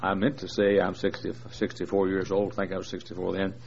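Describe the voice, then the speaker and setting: Low-voiced man in his sixties preaching. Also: completely flat delivery, fast talker, creaky, darkish tone. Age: 60s. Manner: folksy, rambling, with many "uh" fillers and jokes. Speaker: visiting preacher. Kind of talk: joking sermon introduction to a large congregation. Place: large church. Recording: dull lo-fi recording with faint noise.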